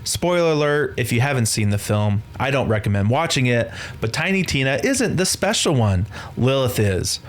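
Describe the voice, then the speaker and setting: A man's voice: medium pitch, very animated, moderate pace, hardly any pauses. A man giving scripted video essay commentary on a film in a sardonic, dismissive way. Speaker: film reviewer.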